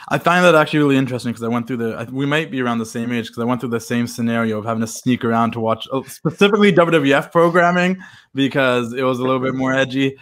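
A man's voice: mid-range voice, a bit bright. A man in his late twenties hosting a casual interview, chatting along and asking questions. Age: 20s. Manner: quick friendly interjections, laughs along. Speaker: podcast host. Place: indoor home room.

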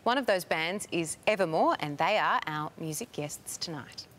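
A woman presenting the late news and interviewing guests in a TV studio, upbeat and brisk. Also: fairly low pitch, expressive, fairly bright tone, a little hiss or hum.

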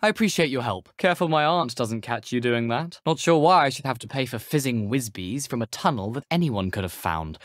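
A man's voice: mid-range voice, expressive, a little fast, few pauses, dry recording, clean studio sound, close to an everyday voice. A young man with a British accent playing an eager, cheeky teenage wizard schoolboy in a video game, chatty, persuasive and self-assured. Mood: chatty, breezy. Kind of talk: video game character dialogue.

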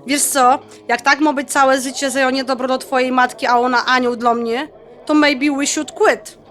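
An actress playing a feisty, sarcastic bride-to-be in an audio drama, mixing with English slang. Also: female voice, high voice, steady tone, moderate pace, a bit bright, faint music behind. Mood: annoyed, sarcastic. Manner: sarcastic, sassy. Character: feisty Polish-American bride-to-be, quick-tempered and sarcastic.

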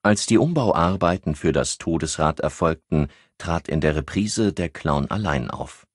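A man with a husky voice narrating a crime novel as an audiobook. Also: rumbling low, animated, measured pace, no echo, clean studio sound.

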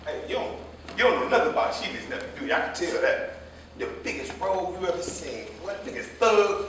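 A man's voice: high voice, animated, fairly quick, fairly bright tone. A man playing a chatty, indignant, meddlesome cab driver who gossips at length in a staged play reading. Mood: nosy, scornful. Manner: chatty, indignant, long-winded storytelling. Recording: echoey stage, distant mic, faint noise.

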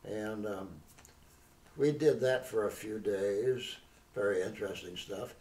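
A man's voice: on the low side, darkish tone. Old guy rambling through memories, lots of restarts, far off in an echoey room. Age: older. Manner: rambling, halting recollection with many restarts. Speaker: veteran interviewee. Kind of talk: oral history interview. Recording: roomy, distant mic.

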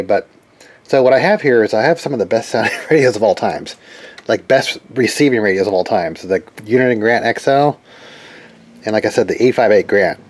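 A man giving a casual, unscripted hands-on demo as a hobby repair channel host, haltingly thinking aloud and repeating phrases. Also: fairly low pitch, a little mellow. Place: cluttered garage workshop with open door. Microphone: handheld camera microphone. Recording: noisy handheld camera in a garage. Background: ambient noise.

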